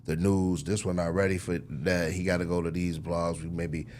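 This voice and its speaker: A man's voice: low voice, flat delivery, normal speed, darkish tone, a little hiss or hum. A man speaking as a music executive in a casual interview, in slangy talk peppered with "you know" and "man".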